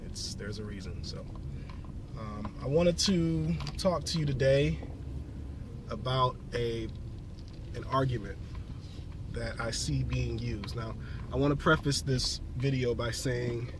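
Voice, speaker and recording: A man with a cold, slightly scratchy, explaining a logical fallacy in a halting, casual monologue full of fillers and restarts. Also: medium pitch. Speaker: video blogger.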